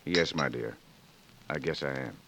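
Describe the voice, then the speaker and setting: A man playing a courtly, melancholy gentleman gambler of the Old West, formal and gallant, in 1940s radio acting. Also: a bass voice, measured pace, a little hiss or hum, full character voice. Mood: gallant, wistful.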